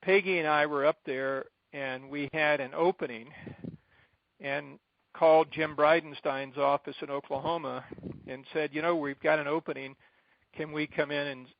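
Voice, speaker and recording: Middle-aged American male, advocacy leader on a muffled conference call, creaky voice, deliberate and emphatic phrasing. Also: fairly high pitch, dry recording. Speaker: advocacy group president addressing supporters. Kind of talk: informal briefing on a conference call. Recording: dull, band-limited conference call line.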